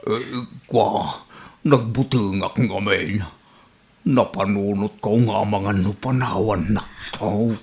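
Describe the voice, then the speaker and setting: A man's voice: on the low side, steady tone, slow, creaky, darkish tone. A man acting a timid old suitor in an Ilocano radio comedy drama, hesitant and stammering, with an Ilocano accent. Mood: sheepish.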